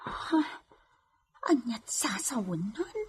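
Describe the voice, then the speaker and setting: A woman's voice: fairly high pitch, big pitch swings, slow pace, husky, exaggerated voice. A woman playing a nervous comic servant in a radio drama, jittery and muttering to herself, with noise behind her. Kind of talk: radio comedy drama acting. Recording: noticeable background noise.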